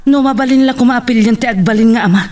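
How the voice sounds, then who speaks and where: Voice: mid-range voice, fast, a little mellow, natural voice. An actor playing a hurt, resentful child in an Ilocano audio drama, speaking indignantly and emotionally. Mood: angry, hurt. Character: hurt, angry child Robin, resentful of the father. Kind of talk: Ilocano radio-style drama acting.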